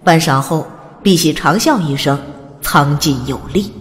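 A woman reads a fantasy audiobook in an even, steady storytelling manner. Her voice is low for a woman. It carries a long reverb. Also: unhurried. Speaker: audiobook narrator. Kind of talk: scripted narration.

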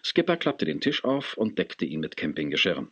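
A man's voice: sits low, huge pitch swings, normal speed, a bit bright, no room sound, full character voice. A man narrating a children's adventure radio play as its storyteller, reading from a script. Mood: calm storytelling. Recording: dull tape sound.

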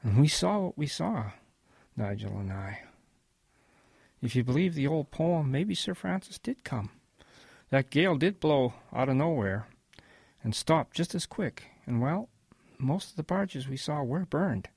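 A man playing a wistful old grandfather in an audio drama, telling his wartime boyhood in a gentle, reflective way with a husky voice. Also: fairly high pitch, animated, a little slow, dry recording, full character voice.

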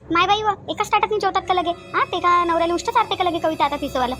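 A woman's voice: very high-pitched, fast, music in the background. A woman voicing village women of a family in a homemade cartoon, talking colloquially and expressively with a Vidarbha Marathi accent. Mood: indignant, scolding. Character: women of a village family: shy bride, chatty sisters-in-law, scolding aunt.

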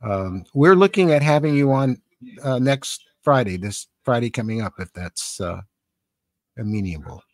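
An American man in his seventies hosting a casual live stream in a rambling, halting, conversational way, close on a studio microphone. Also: mid-range voice, lively intonation, a little mellow, no echo. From San Francisco, Northern California, USA. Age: 70s. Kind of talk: casual live-stream chat, reading and answering comments. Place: small cluttered home studio indoors. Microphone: close boom-arm studio microphone with headphones.

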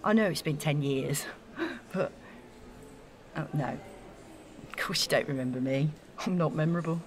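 Young woman, slightly low voice, eager, self-effacing, chatty, natural radio drama delivery. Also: animated, moderate pace, slight background noise. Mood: crestfallen. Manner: gushing, chatty, self-deprecating. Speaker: actor in an audio drama. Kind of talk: naturalistic audio drama acting.

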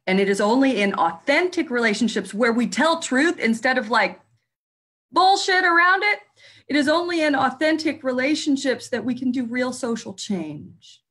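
A woman minister and author speaking earnestly in a panel discussion, pausing now and then to find her words. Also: high voice, animated, a bit bright.

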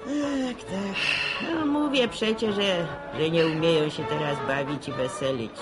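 A woman's voice: fairly low pitch, measured pace, over music, close to an everyday voice. An actress playing a grumbling, nostalgic middle-aged village mother in a radio drama, with a sighing manner.

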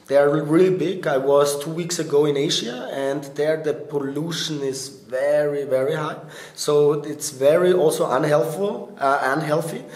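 A man's voice: fairly high pitch, darkish tone. A man in his thirties, born in Bosnia and raised in Austria, as an interview guest, giving earnest answers with a slight hesitance and frequent fillers. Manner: earnest, slightly halting non-native English with fillers. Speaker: startup business developer, interview guest. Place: event press area in front of a logo backdrop, indoors. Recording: roomy event interview recording.

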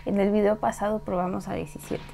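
A woman's voice: mid-range voice, level tone, quick pace, gravelly, dark tone, a little hiss or hum. A young woman co-hosting a gaming video, chatting casually and teasing her partner playfully. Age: young adult. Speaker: gaming channel co-host. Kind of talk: casual gameplay chat. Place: indoor home recording space. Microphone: lapel mic and headphones. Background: game music.

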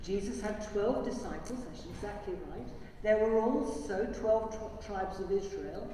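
An older man, a priest, talks gently and simply to children from the lectern. His tone is mellow and dark. The sound is roomy and distant, with a long church echo. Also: high-pitched, unhurried, a little hiss or hum. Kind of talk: liturgical prayer and children's address. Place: large church. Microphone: lectern mic, far from camera. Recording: echoey church from far off.

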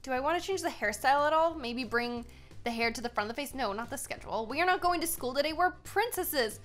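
A young American woman giving casual gaming commentary as host, chatty and expressive, given to exclaiming. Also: sits high, fast talker. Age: young adult.

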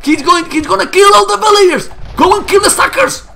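A hoarse, Spanish-native man casting a live strategy game match, getting louder and repeating himself at big plays. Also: extremely high pitch, brisk. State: hoarse, losing his voice. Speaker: esports caster. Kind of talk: excited live game commentary.

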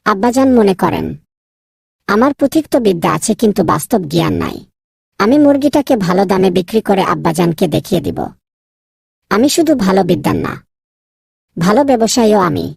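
Woman as young male cartoon scholar, husky, crackly, naive, proud, quick to grumble. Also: medium pitch, lively intonation, a little mellow, no echo, studio quality. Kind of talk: cartoon dubbing.

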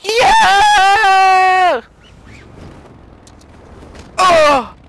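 A man's voice: very high voice, lively intonation, very slow, fairly bright tone, shouting. A young man chatting and joking with a friend while gaming, crude and giggly, often slipping into mock-polite talk. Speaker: gamer playing with a friend. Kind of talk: casual gaming banter.

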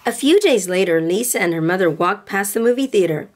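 A woman reading a picture book aloud to children in a deliberate, expressive way. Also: medium pitch, slight background noise. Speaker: storybook reader for children.

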